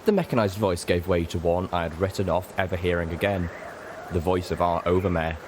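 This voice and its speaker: A man's voice: low-pitched, brisk. A man reading a story aloud in dramatic, scripted first-person narration. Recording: faint background noise.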